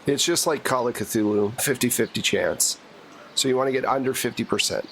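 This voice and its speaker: A man's voice: mid-range voice, a little fast, heavy background noise. A man narrating a tabletop roleplaying game as game master, casual and given to joking asides.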